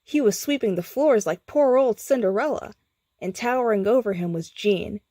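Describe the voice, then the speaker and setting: A woman reads a bedtime story as a storyteller. Her delivery is theatrical and deliberate, with a medium pitch and a dry, close sound. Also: dark tone, no echo. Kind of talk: scripted bedtime story narration.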